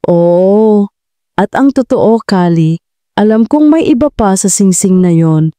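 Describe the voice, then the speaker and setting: A woman narrator acts out an evasive woman who keeps her poise under pressure. She sounds defensive and insistent, in a soft-edged mellow voice. It is audio drama with a very dry, close sound. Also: mid-range voice, animated, slow pace, clean tone, dry recording. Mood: confessing, insistent.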